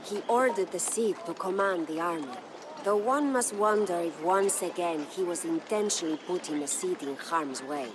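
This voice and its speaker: Woman acting a low-voiced, solemn noble Spanish widow. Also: noisy background, natural voice. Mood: solemn, storytelling. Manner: solemn, formal, measured. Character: proud grieving noble Spanish widow, lady of a castle. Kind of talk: dramatic scripted storytelling narration. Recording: music behind the voice.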